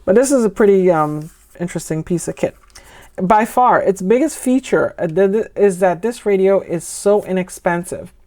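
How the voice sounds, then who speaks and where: A woman's voice: mid-range voice, moderate pace, warm tone. A woman from the United States giving a casual, unscripted product review as a vlogger, conversational and explanatory, with trailing asides.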